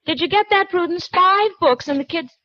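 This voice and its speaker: An actress playing a breezy library clerk who talks in a casual, slangy, teasing way, in 1940s radio acting. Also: female voice, very high-pitched, moderate pace, no room sound. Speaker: library assistant. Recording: dull dry 1940s radio broadcast recording.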